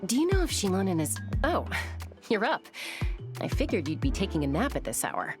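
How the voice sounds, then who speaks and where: A woman's voice: mid-range voice, huge pitch swings, normal speed, music in the background. A woman voicing a cool, confident, easygoing young adventurer in a video game. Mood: teasing. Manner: laid-back, colloquial.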